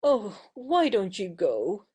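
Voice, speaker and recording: A woman playing a playful, wilful young actress in an audio play, brisk and coquettish with her suitor. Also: mid-range voice, very animated, darkish tone, slightly rough, full character voice. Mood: dismissive, softening.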